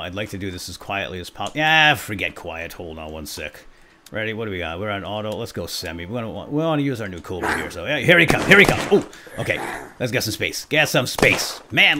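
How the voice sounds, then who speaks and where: A man's voice: medium pitch, a little hiss or hum. A man hosting a video game let's play, jokey and playful, chatting to viewers and to himself as he plays. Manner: animated, jokey, talks to viewers and himself, exclaims. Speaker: host of a gaming let's play series.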